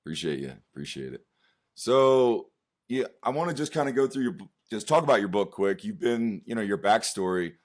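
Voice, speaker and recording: A man's voice: lowish voice, animated, fairly quick. A man hosting an interview show, asking questions in a rambling way full of fillers. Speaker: show host. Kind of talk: casual interview chat.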